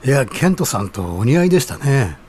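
Over some background noise, a man acts out a middle-aged ramen stall keeper in a radio drama. He is genial, humble and very courteous, with an average-pitched voice. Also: very animated, a little fast, a little mellow, lightly acted. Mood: polite, admiring. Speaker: voice actor in an audio drama. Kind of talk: audio drama dialogue.

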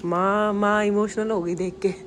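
A woman's voice: mid-range voice, steady tone, measured pace. A young Indian woman filming herself on a phone at arm's length, chatting to viewers as she walks through large museum halls. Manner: chatty, addresses viewers directly. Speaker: travel vlogger. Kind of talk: casual walking tour vlog. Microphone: handheld phone at arm's length. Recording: noisy phone recording in a busy museum.

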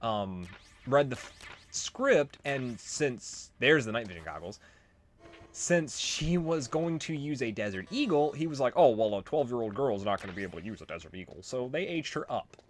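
A man's voice: medium pitch, animated, normal speed. A man giving casual commentary as he plays through a video game. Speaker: Let's Play commentator. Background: game music.

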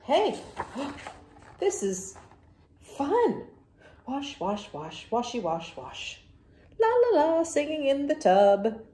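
A woman's voice: high voice, huge pitch swings, extremely slow, long pauses, mellow, roomy sound, slight background noise, voice pushed up, full character voice. A woman voicing a stubborn, dramatic storybook pigeon who argues like a child, exclaiming every point emphatically. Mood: gleeful.

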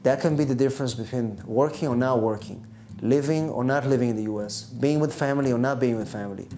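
A man's voice: mid-range voice, quick pace, mellow. A man reading scripted promotional narration. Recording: music behind the voice.

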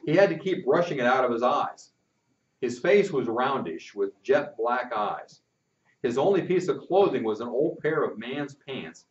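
Older storyteller, folksy, lively pitch, recorded from afar in an echoey classroom with a muffled sound. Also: male voice. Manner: folksy first-person narration. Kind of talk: reading a children's book aloud. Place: classroom with posters, indoors. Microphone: far camera. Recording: roomy and distant, muffled.

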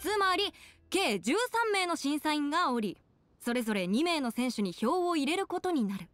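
A woman's voice: sits high, sing-song, a bit bright, no room sound. An actress playing a poised young woman announcing a contest formally and ceremoniously in an anime game. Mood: ceremonious, bright. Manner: clear, measured, ceremonious.

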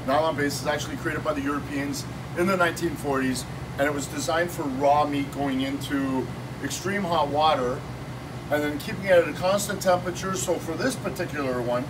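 A man in his fifties, a shop owner giving an energetic, salesy cooking demo in a small shop office, filmed on a phone. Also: a little high, a little fast. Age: 50s. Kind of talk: casual promotional demo. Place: small shop office with kitchen counter, indoors. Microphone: phone camera held by someone nearby. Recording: distant phone audio with room noise.

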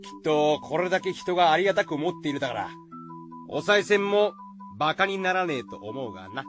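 An actor playing a bold village man in a radio drama, with rough, blunt, folksy speech. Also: on the high side, animated, fairly quick, over music, close to an everyday voice. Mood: bold, persuasive.

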